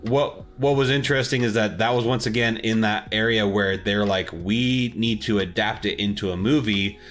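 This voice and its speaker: A man's voice: fairly low pitch, normal speed, a bit bright, faint music behind. A young man co-hosting a casual podcast, rambling and restarting his sentences as he searches for words. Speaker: podcast co-host. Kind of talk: casual chat.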